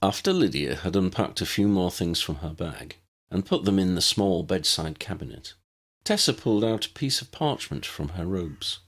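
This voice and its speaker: A man's voice: low voice, expressive, moderate pace. A man reading a story aloud as scripted audiobook narration.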